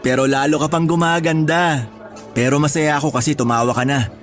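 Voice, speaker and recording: Radio romance lead: actor as devoted, playful boyfriend, higher-pitched male voice, teasing, over a music bed. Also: steady tone, a little fast, natural voice. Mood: teasing, caring. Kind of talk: Tagalog radio drama acting. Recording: music bed under dialogue.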